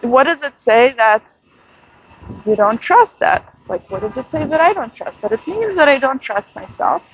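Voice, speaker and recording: Woman vlogger, high voice, soft musing talk, slightly dull lo-fi sound, outdoors at night. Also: animated. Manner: soft, musing, with frequent pauses and fillers. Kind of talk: reflective late-night monologue.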